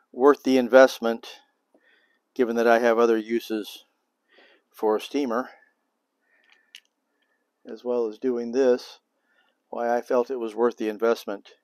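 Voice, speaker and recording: A man's voice: measured pace, long pauses, smooth, dry recording. An older man hosting a beekeeping demonstration, talking casually and thinking aloud as he works on an outdoor patio. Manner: relaxed, thinking aloud, low-key. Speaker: beekeeping video host.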